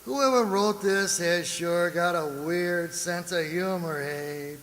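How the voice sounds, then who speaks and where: An actor playing a dismissive, tired husband with a brusque, slangy manner in an amateur radio drama. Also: male voice, sits high, takes it slowly, a bit bright. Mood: scoffing, dismissive. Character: dismissive, tired working-class American husband. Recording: echoey hall.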